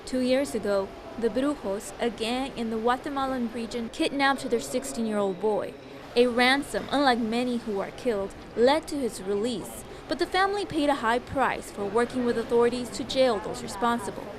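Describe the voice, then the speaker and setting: A woman news reporter narrating a story in measured voice-over. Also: fairly high pitch, noisy background.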